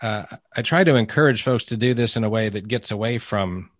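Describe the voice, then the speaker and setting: A man hosting a faith podcast, reading a measured, earnest intro and then chatting with a guest with some hesitant fillers. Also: on the low side. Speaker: podcast host. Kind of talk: scripted intro then interview. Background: music.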